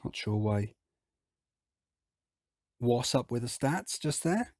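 A man with a hoarse, croaky voice from illness, chatting casually as he talks through a theme park game. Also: mid-range voice, takes it slowly, no room sound, studio quality. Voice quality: husky, croaky. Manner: relaxed, chatty, explains as he plays. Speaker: game video host.

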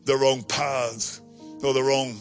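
A man in his sixties preaching a sermon on a studio stage into a handheld mic, emphatic and slowing down to stress key phrases. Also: medium pitch, flat delivery, vocal fry, soft background music. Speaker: pastor. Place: studio stage with colored backdrop.

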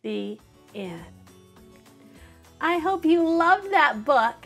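A middle-aged woman reading a children's picture book aloud to preschoolers in an expressive, deliberate storytelling manner. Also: high voice, faint music behind. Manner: animated, deliberate storytelling with dramatized sound words.

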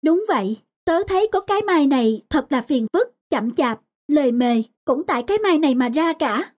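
An actor voicing a slow turtle in a children's cartoon, expressive and given to complaining. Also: high voice. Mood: grumbling. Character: slow, grumbling then grateful cartoon turtle. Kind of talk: animated children's cartoon dialogue. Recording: children's music behind dialogue.

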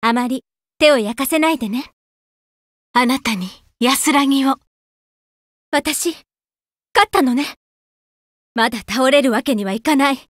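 A woman voicing a devout young female warrior in a fighting game, polite and earnest, with sharp battle cries. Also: sits high, measured pace, long pauses, no echo, studio quality. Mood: soft. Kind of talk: game voice lines, battle shouts.

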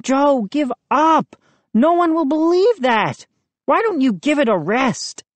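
A woman voicing an excitable boy who is the narrator's friend, loud and agitated. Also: high voice, slow pace, no room sound, voice pushed up, full character voice.

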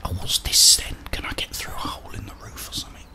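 British guy whispering asmr gameplay, kinda muttering to himself, close on the mic. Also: fast. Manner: muttering to himself, thinking aloud. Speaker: gaming streamer. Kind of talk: whispered ASMR game commentary.

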